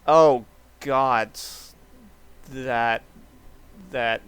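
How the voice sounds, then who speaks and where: A man giving casual, halting live commentary as he streams a video game, often repeating words and restarting. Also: mid-range voice, fairly even intonation, a bit bright. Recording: clearly noisy stream audio.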